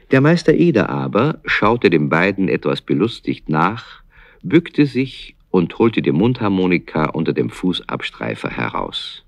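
A man's voice: extremely low pitch, vocal fry, no echo. A man narrating a children's radio play as a storyteller.

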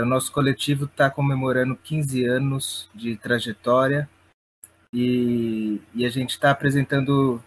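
A man's voice: mid-range voice, slight background noise, low-quality recording. A man with a São Paulo Brazilian accent speaking casually as an interview guest, hesitant and often checking in as he explains. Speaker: guest, theatre director and playwright.

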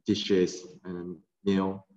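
Young Japanese-accented man, halting and slow, low voice, roomy dull video call audio. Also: flat delivery. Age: young adult. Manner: halting, repeats words, soft laughs. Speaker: brewery guest. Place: tasting room with bottle shelves.

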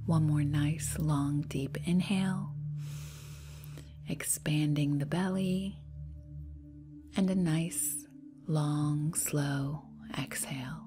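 A woman leading a guided meditation in a soft, breathy, half-whispered voice, soothing and unhurried, leaving long pauses. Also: low-pitched, steady tone. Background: meditation music.

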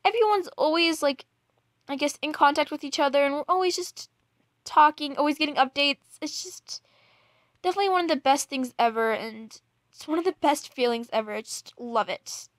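A young woman chatting casually to viewers in a gushing, excitable, giggly manner, as an amateur voice actress promoting a project. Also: sits high, normal speed, dry recording, clean studio sound. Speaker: amateur voice actress introducing a role.

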